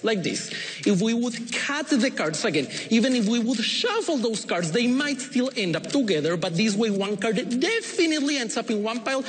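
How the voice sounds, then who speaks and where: Playful Ecuadorian magician with lively patter. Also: male voice, extremely high pitch, big-room sound, slight background noise. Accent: Ecuadorian Spanish. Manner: playful, coaxing, showman's patter with quick asides. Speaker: magician performing on a TV show. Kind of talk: theatrical stage patter. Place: TV studio stage before an audience.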